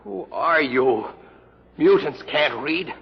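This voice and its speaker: A man's voice: on the high side, animated, takes it slowly, breathy edge, heavily acted. An actor playing a brash, hot-headed male rebel aboard a starship in an old radio drama. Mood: wary, demanding. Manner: brash, insistent. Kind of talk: 1950s radio drama acting. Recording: muffled old radio recording.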